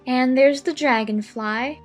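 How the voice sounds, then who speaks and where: A woman's voice: sits high, takes it slowly, faint music behind. A woman voicing a curious, polite, slightly anxious young girl in a children's audiobook, earnest and questioning. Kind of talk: dramatized children's audiobook acting.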